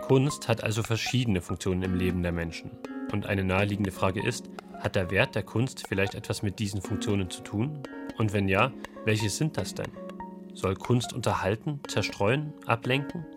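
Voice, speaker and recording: A man's voice: fairly low pitch, normal speed, music in the background. A man reporting for a radio culture magazine in scripted narration, reflective and posing rhetorical questions.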